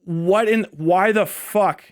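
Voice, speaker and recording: A man's voice: high-pitched, slow pace, clean tone, no echo, clean studio sound. A man giving casual, unscripted livestream commentary, rambling and incredulous, with slangy talk and heavy swearing. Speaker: live streamer and political commentator.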